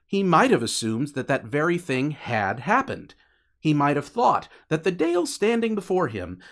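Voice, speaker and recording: A man narrating fiction as a scripted, dramatic audiobook reading. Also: on the high side.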